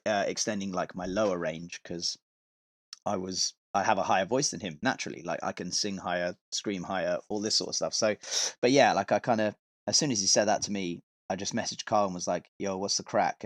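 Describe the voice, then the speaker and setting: A man with a fairly low-pitched voice talks as a guest on a podcast. He tells stories in a loose, rambling, informal style with some swearing. The sound is dry, with very little room on it. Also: dry recording. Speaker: podcast guest, touring metal vocalist and tour manager. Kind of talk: casual podcast chat, telling stories from touring.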